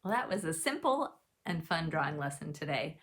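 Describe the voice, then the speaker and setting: A woman leading a drawing lesson, explaining each step simply and with gentle encouragement, as if to children. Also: low-pitched, sing-song, normal speed. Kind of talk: instructional demonstration, step-by-step.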